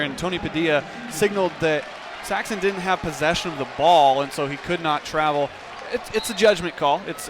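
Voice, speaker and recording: A man giving conversational, explanatory color commentary during a live radio basketball broadcast. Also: a little high. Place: indoor basketball arena. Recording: noisy arena broadcast. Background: loud game noise, basketball bounces.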